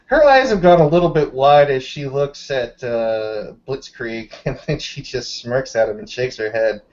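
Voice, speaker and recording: Roleplayer narrating a game scene off the cuff, mid pitch, bit muffled. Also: unhurried, a little mellow.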